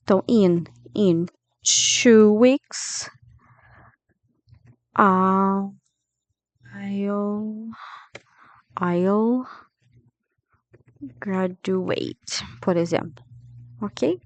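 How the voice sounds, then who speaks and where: A Brazilian woman in her thirties teaching English grammar, who keeps checking in with her students and slows down to model English phrases. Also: mid-range voice, dry recording. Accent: Brazilian Portuguese. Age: 30s. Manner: didactic, checks in with listeners often. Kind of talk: grammar lesson.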